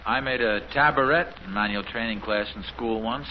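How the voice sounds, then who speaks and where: An actor playing a middle-aged American suburban dad in a 1950s radio sitcom, proud, mildly defensive, with a hesitant, folksy, dry manner. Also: male voice, medium pitch, moderate pace, close to an everyday voice. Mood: mildly put out. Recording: muffled, noisy 1950s radio recording.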